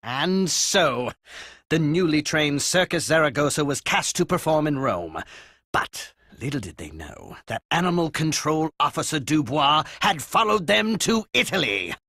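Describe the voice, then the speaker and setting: A husky, long-suffering royal adviser. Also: male voice, a little high, very animated, a little slow, bright, forceful tone, dry recording, exaggerated voice. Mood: storytelling. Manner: dry, theatrical, exasperated. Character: prim, long-suffering male aye-aye royal adviser narrating. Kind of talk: storybook narration in animated video game.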